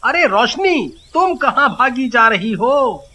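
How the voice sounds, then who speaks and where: A man's voice: very high-pitched, sing-song, takes it slowly, sharp tone, heavily acted. An actor playing an earnest young man in love in a horror story. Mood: concerned.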